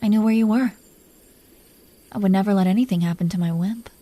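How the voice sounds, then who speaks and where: A woman voicing a protective, smitten dragon queen in an intimate romantic audio roleplay, speaking softly and breathily with an affectionate, teasing manner, often near a whisper. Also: mid-range voice, lightly acted. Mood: protective, adoring. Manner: affectionate, teasing, slow confiding delivery.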